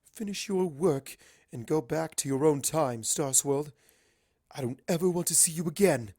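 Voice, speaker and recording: A man's voice: on the high side, moderate pace, warm tone, close to an everyday voice. A man voicing a proud, indignant female pony royal with a haughty, formal manner in a story reading. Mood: cold, hurt.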